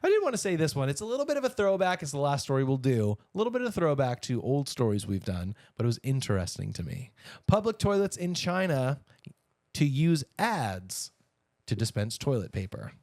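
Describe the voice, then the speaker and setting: A man's voice: medium pitch, big pitch swings, fairly quick, a little mellow, no room sound, studio quality. An American man co-hosting a podcast, chatting casually and giving wry, opinionated asides on entertainment news. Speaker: podcast co-host.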